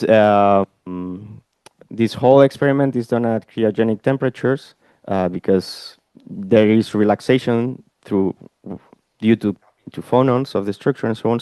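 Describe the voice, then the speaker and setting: A man's voice: lowish voice, dark tone, clear, resonant tone, dry recording. Hesitant man answering physics questions. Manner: hesitant, many fillers and restarts. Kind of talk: conference Q&A.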